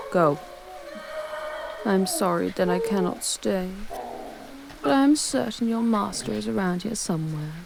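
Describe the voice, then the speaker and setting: A woman's voice: on the low side, vocal fry, background noise. A woman playing a disdainful, sultry female demon guide in an ASMR roleplay, speaking formally and theatrically to the listener. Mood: coaxing, amused. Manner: haughty, theatrical, formal phrasing.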